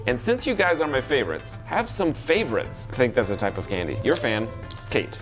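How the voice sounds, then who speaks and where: A young man reads fan letters aloud on a comedy vlog, his voice moving up and down a lot, with a playful deadpan. Soft music plays behind him. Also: medium pitch, fairly quick. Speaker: co-host, "international correspondent".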